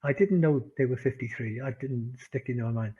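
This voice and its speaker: A man with a Welsh accent teaching an online English class, animated, laughing often and repeating phrases for emphasis. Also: medium pitch, moderate pace, husky, highs cut off. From Wales.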